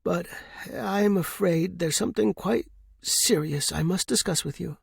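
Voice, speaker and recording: A man's voice: high-pitched, a little slow, vocal fry, slightly rough, no room sound, studio quality, voice pushed up, full character voice. A man voicing a genteel older wealthy lady in an audiobook, gracious but fretful, with mannered, polite, delicate speech. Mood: delicately worried. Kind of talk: audiobook character voice.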